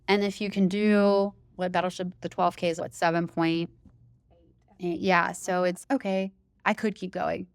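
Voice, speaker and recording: A woman who hosts a running podcast, talking as an interview guest in a loud, emphatic, enthusiastic way and laughing easily. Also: medium pitch, clear, resonant tone, no room sound. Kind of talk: casual interview chat.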